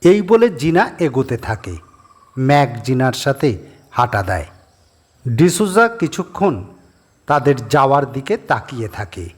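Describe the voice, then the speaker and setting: A man narrating a scripted horror story as its reader, measured, pausing between short phrases. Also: mid-range voice, very animated, slightly rough.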